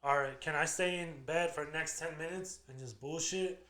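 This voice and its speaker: A man's voice: on the high side, moderate pace, fairly bright tone. A man in his mid twenties, a podcast guest, talking in a hyped, swaggering, profane way. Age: 20s. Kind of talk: casual podcast chat. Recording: echoey room.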